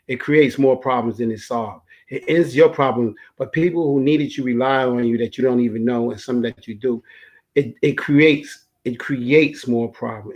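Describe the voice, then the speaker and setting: A man's voice: mid-range voice, a little mellow. A man speaking as a guest on a video call, giving earnest advice to the group. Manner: earnest, advising.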